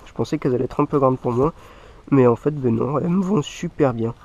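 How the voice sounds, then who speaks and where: A man with a cold talks in a nasal, stuffed-up voice at an ordinary pitch for a man. He chats in a loose, rambling way, like a hobby vlogger showing his gear to viewers. There is a faint hiss of noise behind him. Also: fairly quick, a little mellow, lo-fi sound. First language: French. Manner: rambling, leans on repeated filler phrases. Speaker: mountain bike vlogger. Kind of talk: casual gear showcase talk to viewers.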